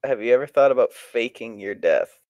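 A man's voice: medium pitch, animated, a little fast, a little mellow, dry recording. A young man in casual, joking chat, swearing freely and telling stories in a crude way.

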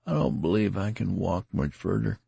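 A man's voice: deep voice, moderate pace, vocal fry, warm tone, husky, no room sound, voice pushed up, full character voice. A man doing a rambling wounded rustic soldier. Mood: rambling, worried. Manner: rambling folksy dialect, slurring as he weakens. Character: wounded ragged male soldier, garrulous and rustic.

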